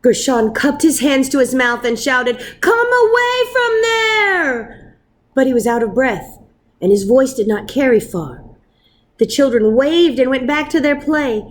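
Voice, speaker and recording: Female storybook narrator: slow, higher-pitched, dramatic, with deliberate pauses. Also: darkish tone, slight background noise. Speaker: storybook reader. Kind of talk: expressive read-aloud narration of a children's story.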